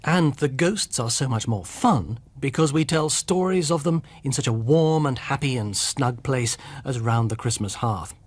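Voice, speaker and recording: A man's voice: medium pitch, expressive, a little slow, hardly any pauses, husky. A man presenting scripted narration in a conversational storytelling way, speaking directly to the listener.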